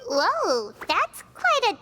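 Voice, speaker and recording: A tiny fairy girl from an anime game, extremely high and squeaky. She is excitable and blunt, swooping up and down in pitch. Music plays clearly behind her. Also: very slow, exaggerated voice. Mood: amazed. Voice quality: squeaky, childlike. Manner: exclamatory, refers to herself in third person.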